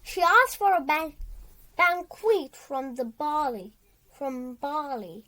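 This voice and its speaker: A child reading a storybook aloud with dramatic emphasis, halting and repeating words along the way. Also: high-pitched, expressive, pushed and bright.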